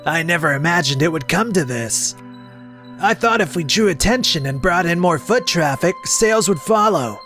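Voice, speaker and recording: A man's voice: on the high side, lively intonation, normal speed, fairly bright tone, soft background music. A man playing a proud, impulsive male chef with a defensive manner in a comic dub. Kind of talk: anime-style comic dub acting.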